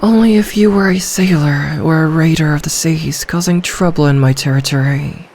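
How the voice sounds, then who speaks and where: A woman playing an ancient, possessive sea dragon in human form for an ASMR roleplay, speaking in a regal, seductive, deliberate manner. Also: sits low, darkish tone, a little hiss or hum. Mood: tender, possessive.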